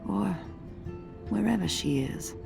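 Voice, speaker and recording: A woman with an American accent playing a warm, wry middle-aged mother in a video game, talking in a folksy, motherly, teasing way. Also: mid-range voice, lively intonation, slow, creaky, heavily acted. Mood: wistful, hopeful. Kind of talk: video game acting, conversational dialogue. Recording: music under the voice. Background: background music.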